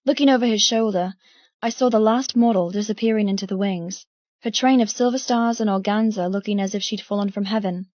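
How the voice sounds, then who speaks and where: A woman's voice: mid-range voice, a little fast, darkish tone, dry recording, dull audio. A woman reading first-person dramatic fiction as an audiobook narrator.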